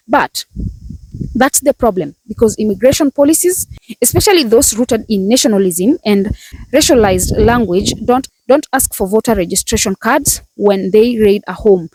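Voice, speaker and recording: A woman reading a scripted commentary in a measured, polished way. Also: on the high side, darkish tone, no echo, rough recording. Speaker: commentary narrator. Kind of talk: scripted narration.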